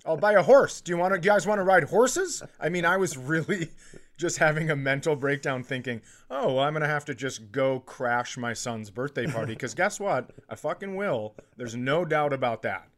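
A man's voice: a little high, moderate pace, fairly bright tone. A middle-aged man co-hosting a podcast, chatting casually and telling stories with comic exaggeration. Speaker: podcast co-host. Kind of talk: casual comedic chat.

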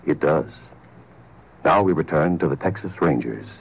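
A male announcer with a very low, rough-edged voice that rises and falls freely. He delivers a scripted fifties radio notice, grave and emphatic, on an old broadcast recording. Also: expressive, moderate pace. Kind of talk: 1950s radio public service announcement, scripted.

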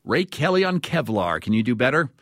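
A man's voice: medium pitch, sing-song, brisk, fairly bright tone, no room sound, studio quality. An American man hosting a radio show in a conversational, scripted style.

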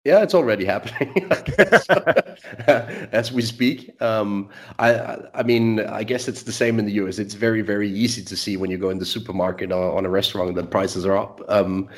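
Danish guy, kinda low voice, lots of uh and um, chuckles a bit. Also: moderate pace. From Denmark. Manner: hesitant, many filler sounds, chuckling asides. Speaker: market strategist guest. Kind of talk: conversational market discussion.

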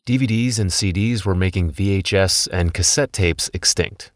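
A man's voice: low-pitched, normal speed, no room sound, clean studio sound. A man in his thirties, a podcast host telling a personal story in scripted documentary narration.

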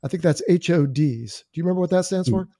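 A man hosting a podcast, chatty and lively as he sets up the next segment. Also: a little high, fast, no echo, studio quality. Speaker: podcast host. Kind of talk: show intro chat.

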